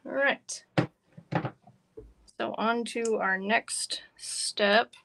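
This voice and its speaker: A woman's voice: fairly high pitch, very slow, gravelly, background noise. A woman instructor talking casually and informally through a hands-on craft demonstration. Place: work table indoors, live online stream.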